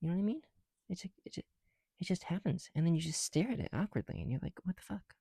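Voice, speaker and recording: A soft-spoken man chatting casually in an ASMR style, often dropping into whispers, with a creaky voice and a rambling, halting delivery, close to the mic. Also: high voice, animated, dry recording. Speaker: ASMR video creator. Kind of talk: casual ASMR chat while building bricks.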